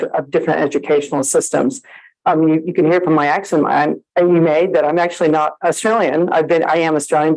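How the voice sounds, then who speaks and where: A woman's voice: low-pitched, a little mellow, rough recording. A woman from the United States, an associate dean, giving a friendly conference presentation with many fillers. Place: conference hall.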